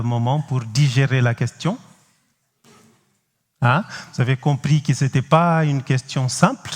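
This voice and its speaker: A man from Cape Verde with a Cape Verdean accent, French not his first language, preaching as a guest pastor, halting with many pauses and speaking straight to the congregation. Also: medium pitch, big-room sound. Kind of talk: sermon. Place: large church hall.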